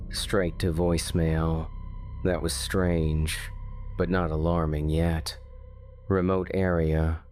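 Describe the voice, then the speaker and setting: A man narrating a scripted true-crime horror story in measured, short phrases with pauses. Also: low voice, darkish tone.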